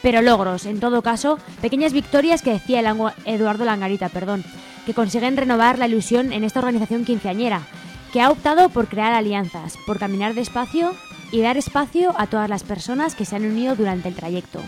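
A woman's voice: a little high, expressive, a little fast. Spanish woman reading radio narration over music. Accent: Spain Spanish. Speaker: radio show co-host. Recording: music bed behind the voice.

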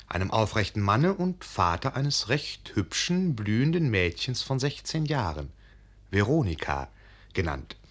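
A man playing a wry, genial fairy-tale narrator in a radio production, telling the story with knowing irony. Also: mid-range voice. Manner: ironic, knowing. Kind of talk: theatrical scripted narration.

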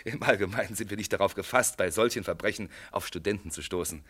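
Low-voiced, shrewd police inspector. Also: male voice, fast, fairly bright tone. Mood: courteous, probing. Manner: probing, matter-of-fact, casually polite. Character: shrewd, unhurried male police inspector, blandly courteous. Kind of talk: German radio play acting.

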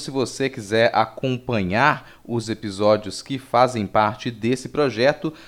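Mid-pitched Brazilian man hosting a podcast. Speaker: podcast host. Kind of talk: informal podcast announcements.

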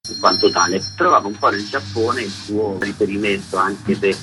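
A man's voice: on the low side, fairly even intonation, moderate pace, over music. A man being interviewed on radio as a theatre author, explaining his work in an articulate way.

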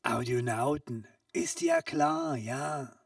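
Woman as a low, sly whispering peddler. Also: huge pitch swings, slow pace, dry recording, studio quality, recorded from a distance, exaggerated voice. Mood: coaxing. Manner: conspiratorial hushing, coaxing, often dropping into whispers. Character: sly, secretive street peddler hawking invisible goods. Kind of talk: comic sketch audio play.

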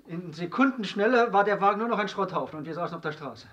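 Weary, stubborn jobless actor. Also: male voice, sits high, lively intonation, fast, fairly bright tone. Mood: pleading. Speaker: actor in a radio drama. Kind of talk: German radio drama acting.

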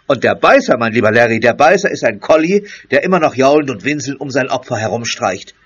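A man playing an earnest, excitable chief inspector in a German horror audio drama. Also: medium pitch, a little fast, natural voice. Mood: excited. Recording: dull, noisy audio-drama tape.

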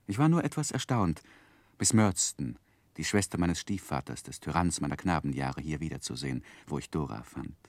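A romantic gentleman telling his life story, old radio play, really deep voice, dry close sound. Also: dry recording. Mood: wry, reflective. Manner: measured, expressive, literary. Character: earnest, romantic gentleman recalling his young manhood. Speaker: narrator and main character. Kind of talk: dramatic first-person narration, 1960s German radio play.

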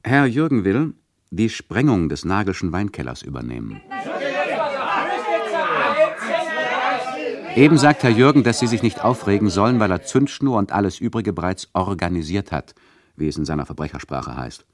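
An actor playing a mocking, bossy narrator of a satirical radio play, addressing listeners with mock solemnity and condescension. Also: male voice, low voice, expressive, brisk, a crowd in the background. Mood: exasperated.

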